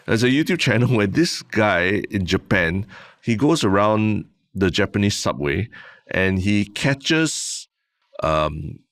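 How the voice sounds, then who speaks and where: A man's voice: lowish voice, animated, slow pace, fairly bright tone, no room sound. A young Singaporean man co-hosting a podcast, telling a long story in a chatty way with lots of "lah" and restarts. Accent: Singaporean English. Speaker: podcast co-host. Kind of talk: casual chat.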